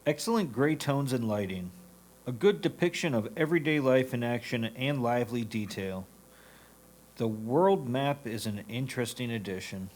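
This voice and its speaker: A man's voice: medium pitch, animated, moderate pace, a little mellow. A man reading written critiques aloud as a reader for a competition judge.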